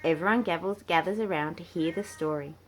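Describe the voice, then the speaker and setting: A woman reading a children's storybook aloud in an animated, expressive and playful way. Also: fairly low pitch, fairly quick, slight background noise. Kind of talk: read-aloud children's storybook narration with acted dialogue.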